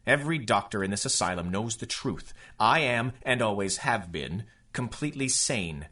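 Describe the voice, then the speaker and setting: A man's voice: fairly low pitch, lively intonation, moderate pace, bright, forceful tone. A man playing an arrogant criminal genius in a radio superhero serial, speaking in a pompous, condescending way. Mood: indignant. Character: arrogant male criminal genius, haughty asylum inmate. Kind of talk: radio drama acting in 1930s pulp serial manner.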